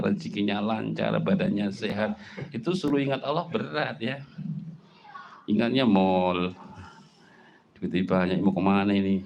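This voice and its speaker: A middle-aged man from Java preaches with a Javanese accent and a lively, swinging delivery. His voice sits fairly low but leaps upward to drive a point home, full of rhetorical questions. Faint noise hums behind him. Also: highs cut off. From Java, Indonesia. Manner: animated, swings pitch up for emphasis, rhetorical questions, mimics speech. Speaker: Islamic preacher. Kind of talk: lively sermon to a congregation.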